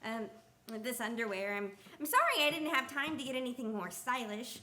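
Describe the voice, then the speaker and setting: A woman's voice: fairly high pitch, big pitch swings, a little fast, pushed and bright, slight background noise. A woman playing a cheerful, naive apprentice guardian angel in a radio play, chirpy and exclaiming. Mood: flustered, bright. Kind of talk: stage-style radio play acting.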